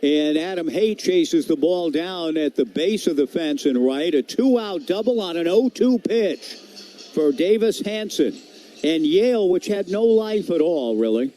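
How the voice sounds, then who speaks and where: A man's voice: a little high, mellow. An American man calling a live baseball game as a radio play-by-play announcer, with ballpark ambience around him. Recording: live broadcast with clear background noise.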